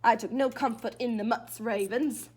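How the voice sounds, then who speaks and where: A woman's voice: on the high side, steady tone, normal speed, darkish tone, breathy edge, pitched below the performer's own voice. A woman voicing a grumpy, suspicious, boastful old male leprechaun telling his own storybook tale dramatically. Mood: grudging.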